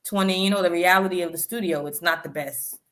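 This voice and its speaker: A woman in her thirties, a rapper guest, telling stories in a chatty, animated way in an interview. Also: on the low side, quick pace. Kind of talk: interview storytelling.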